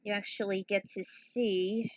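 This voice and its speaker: A woman narrating her own story casually, pausing for halting, informal asides to viewers. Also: medium pitch, steady tone, a little mellow, low-quality recording. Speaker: fan fiction author narrating own story.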